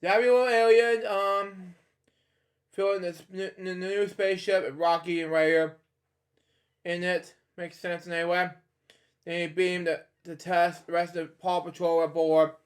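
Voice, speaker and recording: A man's voice: high voice, flat delivery. A man reading a children's storybook aloud, stumbling, restarting and adding rambling side comments. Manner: halting, with frequent restarts and filler comments.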